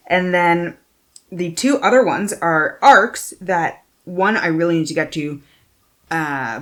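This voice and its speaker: A woman's voice: deep voice, a bit bright. A woman book vlogger chatting casually to viewers in an informal, self-deprecating way, sometimes sweary or reading blurbs aloud.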